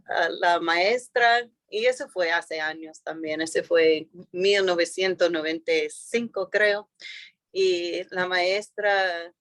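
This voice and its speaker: A woman's voice: medium pitch, fairly bright tone, no room sound. A woman whose first language is English, speaking with an American accent as an interview guest, hesitant with many fillers and restarts. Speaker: school founder and director. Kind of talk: interview guest telling a story.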